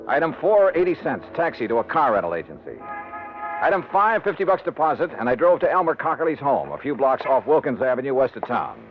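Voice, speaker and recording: An actor playing a skeptical, brisk insurance investigator in a hardboiled 1950s radio drama, with a clipped, probing, wry delivery. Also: male voice, mid-range voice. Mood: wry narration. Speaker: insurance investigator lead and narrator. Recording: dull vintage radio recording, noisy.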